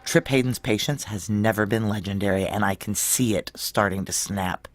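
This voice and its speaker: An actor playing a tense, deferential security chief in an audio drama, narrating in a clipped, wry American voice. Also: male voice, on the low side, normal speed, no echo, lightly acted. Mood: tense narration. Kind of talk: audio drama acting with first-person present-tense narration.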